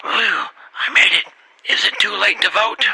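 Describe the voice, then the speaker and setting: A man's voice: high voice, fairly even intonation, a little slow, bright, forceful tone, a little hiss or hum, exaggerated voice, poor audio. A man playing a breathless, strained male voter with a snake around his neck in a radio comedy. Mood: breathless indignant. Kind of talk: comedy radio theater acting.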